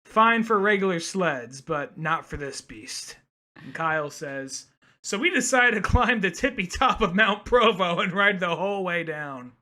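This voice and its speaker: Young adult man, sharp bright tone, casual read-along podcaster mixing dramatic line readings with loose chat. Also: high voice, lively intonation, measured pace. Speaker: podcast co-host.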